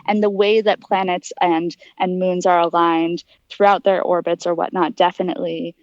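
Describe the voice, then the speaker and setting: A woman's voice: lowish voice, flat delivery, moderate pace, darkish tone, no room sound, highs cut off. A young adult woman giving conversational, explanatory answers as a guest in an interview. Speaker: interview guest, aspiring astronaut.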